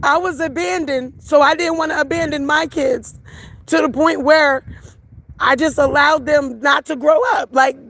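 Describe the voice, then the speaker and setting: A middle-aged woman on a livestream, her voice hoarse from crying, talking to viewers in a raw, emotional way. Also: high-pitched, steady tone, normal speed, a bit bright. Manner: raw, emotional, repeats herself. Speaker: livestream host.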